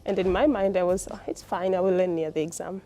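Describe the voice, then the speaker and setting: Female, Tanzanian accent, soft dark tone. Student testimonial, informal and explanatory. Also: lowish voice, steady tone, fast, soft background music. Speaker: postgraduate student.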